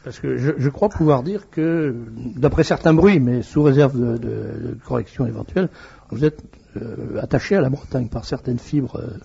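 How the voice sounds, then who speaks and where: Raspy gravelly male radio host. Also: mid-range voice, dark tone, highs cut off. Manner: jovial, halting, teasing the guest. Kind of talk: hosting a radio interview.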